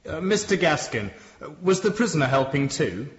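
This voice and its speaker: A man's voice: on the high side, sing-song. An actor playing a courteous, formal male Victorian defence barrister in a radio courtroom drama. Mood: reassuring. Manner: formal, measured questioning. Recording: roomy, slightly muffled courtroom sound.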